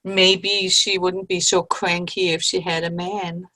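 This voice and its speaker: A woman's voice: on the low side, level tone, unhurried, bright, forceful tone. A woman playing a cheerful, teasing grandmother among old friends in an amateur comedy play reading. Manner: good-humored, teasing. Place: online video-call play reading.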